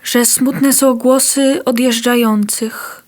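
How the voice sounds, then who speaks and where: A woman reciting a poem in a slow, even, solemn reading. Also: a little high. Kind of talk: poetry recitation.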